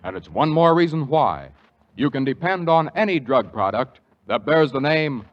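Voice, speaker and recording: A man reading a scripted radio commercial in a smooth, earnest, measured announcer manner. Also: mid-range voice, very animated. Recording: dull old radio broadcast.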